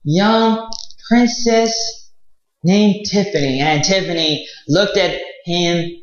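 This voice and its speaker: Echoey young man telling stories slowly. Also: sits high. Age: young adult. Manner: animated, halting, repeats phrases. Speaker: English teacher and storyteller. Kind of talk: improvised fairy tale told for learners. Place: small indoor room with whiteboard. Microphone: clip-on lapel mic. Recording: very echoey room, slightly dull sound.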